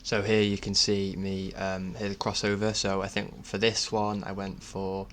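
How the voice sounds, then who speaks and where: A man narrating his own DIY project in a casual voice-over, speaking in a halting, self-effacing way. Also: low voice, monotone, loud music behind the voice. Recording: clear background noise.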